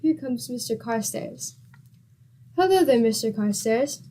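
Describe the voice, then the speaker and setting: Give me a good-humored, teasing wife in an old radio comedy, high-pitched. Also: female voice, lively intonation, a little slow, warm tone, a little hiss or hum. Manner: teasing, exclamatory. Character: patient, good-humored middle-aged wife. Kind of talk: 1940s radio comedy acting.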